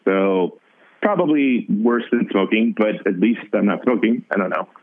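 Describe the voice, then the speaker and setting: Raspy man, musician guest, halting delivery with lots of restarts; tinny phone-line sound. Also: fairly low pitch. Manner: halting, full of restarts and fillers. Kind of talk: casual interview talk.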